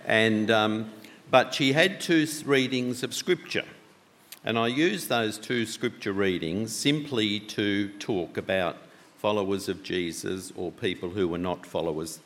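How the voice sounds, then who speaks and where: A man preaching a sermon with a loud voice, talking conversationally and asking the congregation many questions. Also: on the low side, measured pace. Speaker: pastor. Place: church. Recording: long church reverb.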